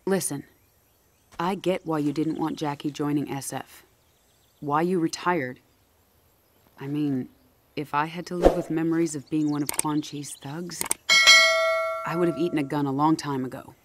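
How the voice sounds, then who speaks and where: A woman's voice: low voice, steady tone, normal speed, long pauses, heavy background noise, natural voice. A woman playing a stern, determined special forces general in a video game cutscene, blunt and businesslike. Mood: urgent, frank.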